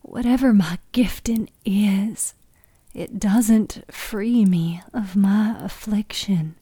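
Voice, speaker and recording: A woman voicing a sad older mother in an audio drama, gentle, knowing and resigned. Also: medium pitch, slow, slightly rough, no room sound.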